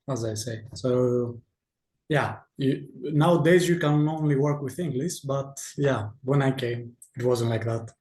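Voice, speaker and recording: A man with a Greek accent, speaking English as a second language at a middling pitch. He talks hesitantly, with lots of ums and restarts, and his voice carries a roomy echo from the space he calls in from. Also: darkish tone. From Greece. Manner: hesitant, many fillers and restarts. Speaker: interview guest. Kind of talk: casual video-call interview.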